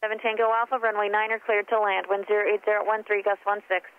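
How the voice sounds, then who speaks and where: Brisk, even-toned tower controller over radio. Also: medium pitch. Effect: aviation radio. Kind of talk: clipped air traffic control instructions. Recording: dull, slightly noisy radio transmission.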